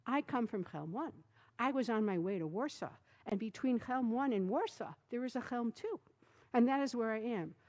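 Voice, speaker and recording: Storybook casting: a stubborn, muddled village man, earnest and quiet, put on by a woman reader. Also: a little high, expressive, normal speed, natural voice. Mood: insistent.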